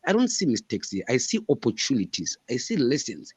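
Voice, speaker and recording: A man's voice: medium pitch, wildly swooping pitch, fairly quick, slightly rough, dry recording. A man in his thirties from Zimbabwe, a guest author answering interview questions in an earnest, emphatic way and repeating his points. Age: 30s.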